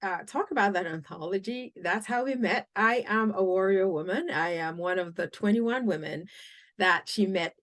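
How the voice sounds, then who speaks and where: A woman from the United States hosting a podcast, reading a guest introduction and interviewing in a deliberate, clearly spoken way. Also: mid-range voice, fairly bright tone. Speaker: podcast host.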